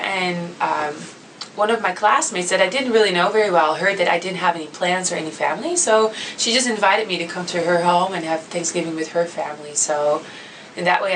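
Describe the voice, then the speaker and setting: A woman's voice: lowish voice, a bit bright. A woman in her thirties from the Netherlands, speaking English, introduces herself to a class in an easygoing, chatty way. She sits a little away from the microphone in a classroom, with a faint hum of background noise. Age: 30s. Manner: easygoing and chatty, with many fillers and frequent laughter. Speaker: international student coordinator. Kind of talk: casual self-introduction to students. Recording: slightly distant mic with faint background noise.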